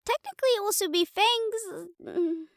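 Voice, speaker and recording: A woman's voice: very high-pitched, moderate pace, no echo, studio quality. A woman playing cheeky young women from a troublemaking friend group in an audio drama, flippant and defensive as they protest. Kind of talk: scripted audio roleplay drama acting.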